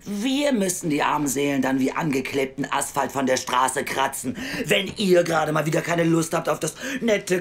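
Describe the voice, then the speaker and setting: A weary, scornful female welfare official in an audio drama. Her voice sits very low for a woman. She lectures bluntly and sardonically, as someone who has heard every story before. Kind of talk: naturalistic audio drama acting.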